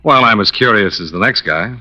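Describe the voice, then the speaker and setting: Crisp, forceful American radio detective. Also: male voice, fairly low pitch, lively intonation, a little fast. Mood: wry, suspicious. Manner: clipped, dry, questioning. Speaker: actor playing the lead in a radio detective drama. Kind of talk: old-time radio detective acting and first-person narration. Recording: dull old radio recording.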